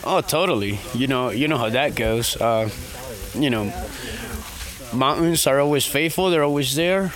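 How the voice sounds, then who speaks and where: A man's voice: medium pitch, measured pace, crowd noise. A man from Guatemala who has just finished a two-hundred-mile race, exhausted and joking candidly with plenty of fillers outdoors. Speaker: ultramarathon runner. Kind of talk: casual on-course interview. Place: outdoors at a race aid station.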